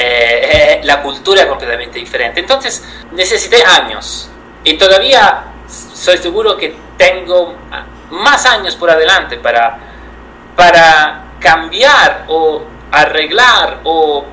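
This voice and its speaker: Hombre italiano, voz brillante. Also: male voice, on the high side, lively intonation, unhurried, pushed and bright, slight background noise, poor audio. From Italy. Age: 30s. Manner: thoughtful, hesitant, many fillers and restarts. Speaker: guest photographer. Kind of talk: reflective interview answers.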